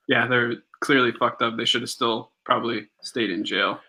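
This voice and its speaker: A young man co-hosting a podcast live stream, relaxed, telling a story with many fillers and pauses. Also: medium pitch. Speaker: podcast co-host.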